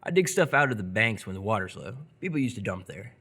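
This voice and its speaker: Man acting curious teenage boy, lively pitch. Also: fast, slightly rough, heavily acted. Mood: curious, casual. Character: 17-year-old boy cyclist, curious, wary, out alone. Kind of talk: live radio theatre acting.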